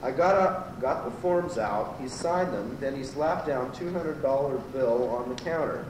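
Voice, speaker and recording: A man's voice: medium pitch, expressive, measured pace, natural voice. A man playing an earnest, rambling teenage goat who works as a rental clerk in an amateur radio comedy. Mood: rambling, explaining. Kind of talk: amateur old-time radio comedy acting. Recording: echoey, distant, noisy amateur tape.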